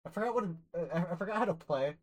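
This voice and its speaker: Woman streamer, casual gaming chat, swears often, laughs a lot, pitch swings widely, lowish voice. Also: expressive, rapid-fire. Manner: swearing, laughing, rambling restarts.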